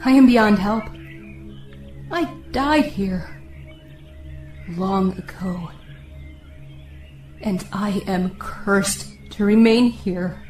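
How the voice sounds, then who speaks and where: A woman plays a cursed young female ghost in an audio drama. She speaks extremely slowly and mournfully, tender and ethereal, in a reverberant space with faint music. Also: medium pitch, a little mellow, lightly acted. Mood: mournful, resigned. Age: young adult. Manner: slow, mournful, ethereal delivery.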